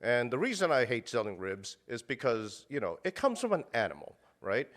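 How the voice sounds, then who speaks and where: A man's voice: medium pitch, wildly swooping pitch, a little fast, a bit bright. A man talking casually as an interview guest, rambling with many fillers and restarts and cursing bluntly. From United States. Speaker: guest, meat purveyor.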